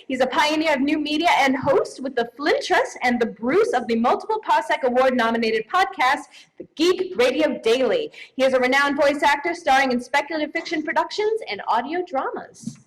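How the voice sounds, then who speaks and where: A woman's voice: sits high, expressive, brisk, rough recording. A woman hosting a live awards show with scripted introductions and banter. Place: live awards ceremony stage.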